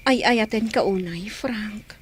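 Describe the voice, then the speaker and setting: A woman's voice: medium pitch, slow pace, slight background noise, close to an everyday voice. An actress with an Ilocano accent playing a devoted, hesitant young woman in love in a radio drama, sounding anxious.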